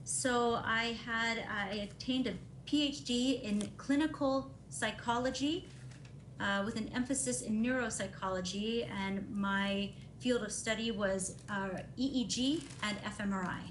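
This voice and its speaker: A woman's voice: on the high side, unhurried. A woman in her forties from Honolulu giving formal testimony as a neuropsychologist, speaking hesitantly and matter-of-factly. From Honolulu, Hawaii. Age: 40s. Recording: echoey room, faint noise, video call.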